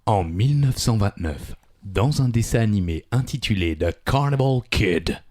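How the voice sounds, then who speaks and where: Animated man hosting a quiz. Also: lowish voice, normal speed. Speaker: quiz podcast host. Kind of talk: playful quiz banter and trivia.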